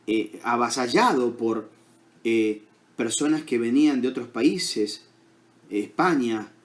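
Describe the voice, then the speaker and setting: A man with an Argentine accent preaching a sermon as pastor, unhurried, with many fillers and questions to the congregation. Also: mid-range voice, darkish tone. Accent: Argentine Spanish.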